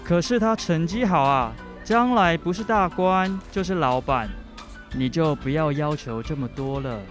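A man's voice: fairly high pitch, a little slow, faint music behind, close to an everyday voice. An amateur actor, a man, playing a joking father who runs a night-market food stall in a children's radio drama. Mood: joking, self-deprecating.

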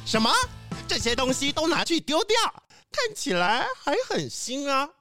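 A woman's voice: sits high, sing-song, moderate pace, a bit bright, no echo, clean studio sound, soft background music. A woman playing an eager, easily amazed young deliveryman in a children's audio drama. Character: eager, wide-eyed young male deliveryman, thrifty.

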